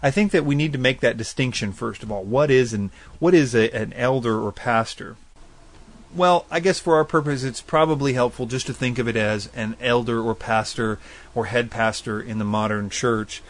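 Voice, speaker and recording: A man hosts a faith-based call-in style radio program and works through listener questions in a relaxed teaching voice. He is earnest and thoughtful. He weighs his points as he goes and lays them out plainly. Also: mid-range voice. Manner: earnest and thoughtful, spells out addresses slowly. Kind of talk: casual explanatory Bible teaching.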